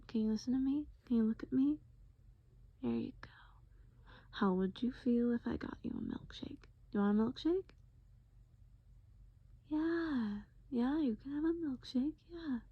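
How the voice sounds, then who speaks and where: A woman playing a gentle, patient boyfriend in acted-out roleplay, coaxing with pet names and simple, repeated reassurances. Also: on the high side, husky, no echo, muffled recording, voice pushed up. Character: gentle, patient boyfriend soothing a groggy partner.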